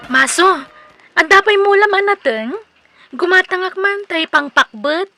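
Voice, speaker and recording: A woman's voice: very high voice, animated, measured pace, music in the background, heavily acted. A Filipino woman playing a lovestruck, persistent young single villager in a comedic radio drama, pleading and coy. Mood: hurt, puzzled.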